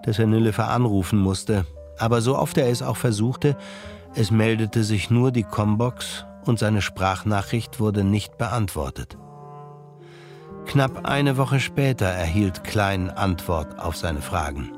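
A male narrator reads a scripted audio drama. His voice sits fairly low, with music softly underneath. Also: measured pace.

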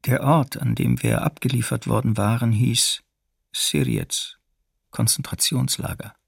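An actor playing a former camp prisoner, recounting the ordeal in a restrained, deliberate way for a documentary radio drama. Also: male voice, mid-range voice, steady tone, creaky, husky, no room sound, clean studio sound, full character voice. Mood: restrained, grim. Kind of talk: documentary radio drama, first-person testimony.

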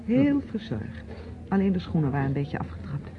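A woman's voice: low voice, sing-song, normal speed. An actress playing an evasive, exasperated niece in her thirties in a radio drama, polite but hesitant and defensive. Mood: subdued, evasive. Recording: muffled, noisy old radio play recording.

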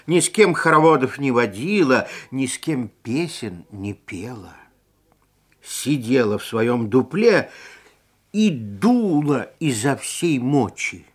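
A man narrating a children's fairy tale in a theatrical, expressive way, stretching key words for emphasis. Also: mid-range voice, husky. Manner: theatrical, deliberate, drawn-out emphasis. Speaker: storyteller.

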